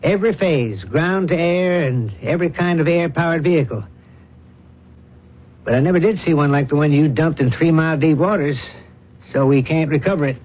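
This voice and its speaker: A man playing a blunt, commanding older industrialist father in a radio drama, talking in brisk, clipped, forceful phrases. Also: on the high side, lightly acted. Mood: brisk, probing. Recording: dull vintage radio broadcast recording.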